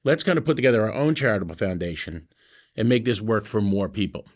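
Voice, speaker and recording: A man's voice: lowish voice, sing-song, fast. A middle-aged man, a founding club member, telling wry stories in a casual group chat. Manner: wry, storytelling, frequent fillers.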